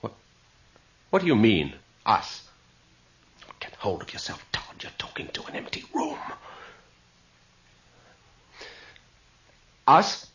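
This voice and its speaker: Rattled skeptic, wildly swooping voice. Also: male voice, on the low side, wildly swooping pitch, a little slow, slightly rough, exaggerated voice. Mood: rattled scorn. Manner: muttering to himself, bursting into angry shouts. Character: frightened, defiant skeptical man trapped in darkness. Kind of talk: radio horror drama acting. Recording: lo-fi hissy radio drama recording.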